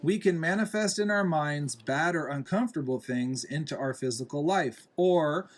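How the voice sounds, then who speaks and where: A man reading a children's picture book aloud in a deliberate way, pausing between phrases. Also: fairly high pitch. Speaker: reader, parent reading to a young child.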